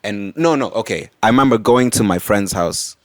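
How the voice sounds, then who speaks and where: Man, thirties, from Morocco, podcast co-host, easygoing chat, very deep voice. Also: moderate pace, no echo. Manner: easygoing, many fillers and repeated yeahs. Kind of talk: casual chat.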